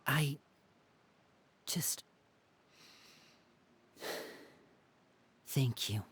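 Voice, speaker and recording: An actor playing a guarded, protective young man in a video game, speaking in a measured, polite and earnest way. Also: medium pitch, fairly bright tone, slight background noise. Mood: earnest, resigned. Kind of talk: English video game voice acting. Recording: game music behind.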